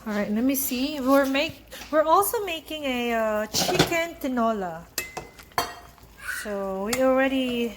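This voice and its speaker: A woman's voice: high-pitched, expressive, measured pace. A mother and channel host from the Philippines, chatting casually in her home kitchen and prompting her son with step-by-step questions. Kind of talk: casual home cooking chat. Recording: noisy home kitchen with clicks.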